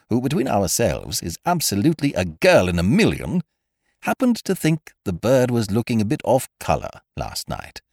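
A man's voice: medium pitch, no room sound, clean studio sound, pitched above the performer's own voice. A man voicing a breezy, self-assured young schemer in a storybook, talking in an expansive, chummy way.

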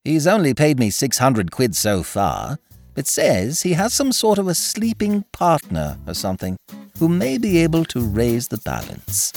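A man voicing an amiable, dim young gentleman in an audiobook, bleating and chummy, full of old-fashioned British slang. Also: mid-range voice, huge pitch swings, a little slow, creaky, faint music behind, pitched above the performer's own voice, heavily acted. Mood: cheerful, chatty. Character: amiable, dim upper-class young gentleman, easily pleased.

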